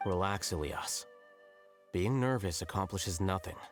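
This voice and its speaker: An actor playing a cool, logical young male scholar in a video game, measured and detached, over faint music. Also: low voice. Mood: commanding.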